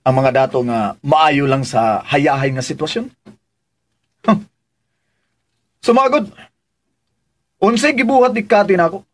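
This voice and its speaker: An actor with a Filipino accent playing a stubborn, defensive grown son in a radio drama, insistent and challenging. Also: male voice, a little high, measured pace, long pauses. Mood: indignant, challenging. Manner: insistent, challenging, fires back questions.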